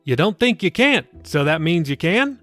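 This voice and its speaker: A man voicing a warm, teasing middle-aged pastor and father in an audiobook, upbeat and coaxing. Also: a little high, big pitch swings, normal speed, soft background music, voice pushed up. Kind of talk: audiobook character dialogue.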